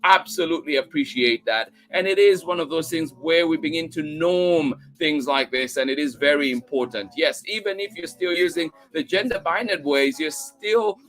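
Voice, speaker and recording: A man's voice: medium pitch, a bit bright. A man hosting a children's story time livestream, chatting to viewers in an effusive way and often repeating his thanks and encouragement. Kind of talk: chatty livestream sign-off, greeting viewers by name.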